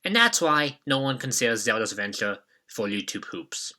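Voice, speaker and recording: Bright-voiced man hosting retro game commentary. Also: mid-range voice, very animated, normal speed. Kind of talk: scripted commentary with casual asides.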